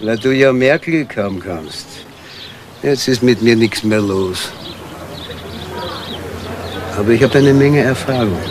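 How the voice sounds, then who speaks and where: An actor playing a worn-out ex-convict burglar in a crime radio play, coaxing and wistful, speaking deliberately in short, persuasive phrases. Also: male voice, mid-range voice, background noise, close to an everyday voice.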